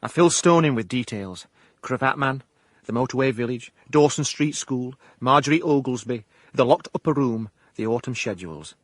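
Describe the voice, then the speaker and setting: An actor with a Yorkshire accent playing an eager, defensive novice private eye, husky, wry and deadpan, in a radio drama. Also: male voice, mid-range voice, normal speed, no room sound, studio quality, natural voice. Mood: wry narration. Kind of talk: radio drama dialogue and narration.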